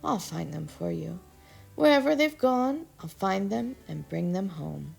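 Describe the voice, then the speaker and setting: Lady doing nice squirrel guy, polite and comforting, kinda gravelly. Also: medium pitch, very animated, measured pace, darkish tone, faint music behind, exaggerated voice. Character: kindly male squirrel gentleman.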